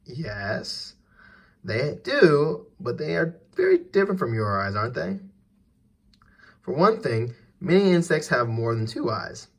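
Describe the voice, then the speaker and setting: A man's voice: medium pitch, a bit bright. A man reading an educational text aloud for children as a teacher, putting questions directly to the listener.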